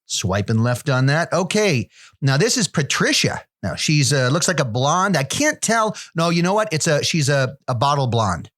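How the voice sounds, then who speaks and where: A man's voice: on the high side, sing-song, normal speed, a bit bright. A man playing a judgmental operator who describes dating profiles over the phone, hesitant and fussy, with many restarts. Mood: deadpan. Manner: hesitant, picky commentary with restarts.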